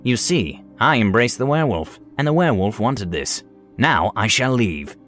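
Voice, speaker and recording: Casting: gloating male wizard villain, played by a male narrator, sadistic and menacing, swooping pitch, fantasy tabletop tale over music. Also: sing-song, a bit bright. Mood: smug. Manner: taunting, drawn-out menacing delivery. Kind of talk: dramatized tabletop fantasy storytelling.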